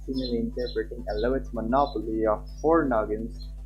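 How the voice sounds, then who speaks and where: A man's voice: mid-range voice, fairly even intonation, moderate pace, lo-fi sound. A man livestreaming a game, chatting casually and reading visual novel text aloud. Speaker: live streamer.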